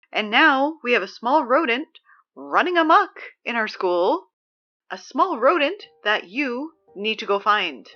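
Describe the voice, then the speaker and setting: A woman's voice: fairly high pitch, bright, forceful tone, highs cut off, heavily acted. A woman playing a sarcastic, exasperated teen announcer. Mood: needling. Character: exasperated teenage girl reading school announcements. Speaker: school announcer. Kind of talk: audio drama reading school announcements.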